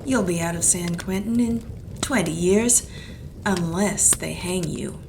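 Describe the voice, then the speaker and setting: A woman's voice: on the low side, unhurried, gravelly, noisy background. A woman voicing a hard-boiled female private detective with a clipped, blunt manner, in a community radio play. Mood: accusing.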